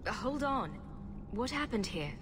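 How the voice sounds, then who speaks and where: A woman's voice: fairly high pitch, very animated, unhurried, noisy background. An actress playing a tough, sardonic female mercenary hero in a video game, speaking in a dry, cool-headed, scornful way. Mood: wry. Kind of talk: video game cutscene acting.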